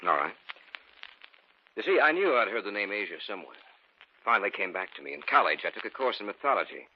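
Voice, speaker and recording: An actor playing a grave male friend recounting a sinister legend in measured tones, in an old radio play. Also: lowish voice, big pitch swings, slightly rough, full character voice. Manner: measured, ominous storytelling. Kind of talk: 1940s radio acting. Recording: muffled old radio broadcast with music.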